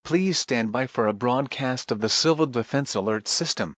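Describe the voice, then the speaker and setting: A man's voice: mid-range voice, normal speed, no echo, close to an everyday voice. A man making a formal scripted announcement as an emergency broadcast announcer.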